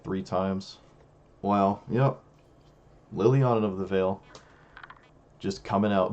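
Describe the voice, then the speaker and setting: Hoarse young man musing over gameplay. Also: fairly low pitch, darkish tone, dull audio. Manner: musing, self-critical, talks to himself. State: losing his voice, hoarse. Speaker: streamer playing a card game. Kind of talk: casual gameplay commentary.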